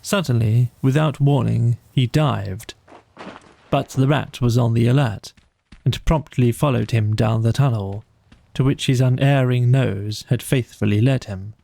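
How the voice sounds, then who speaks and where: Slow, creaky male bedtime storyteller. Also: medium pitch, mellow, no room sound. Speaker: audiobook narrator. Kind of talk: scripted bedtime storybook narration.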